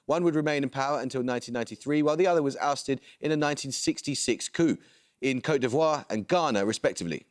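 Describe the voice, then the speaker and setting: Brief: middle-aged male quizmaster, mid-pitched, crisp formal reading, studio broadcast sound. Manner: measured, precise, formal delivery. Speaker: quiz show host. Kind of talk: scripted quiz presenting. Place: television studio.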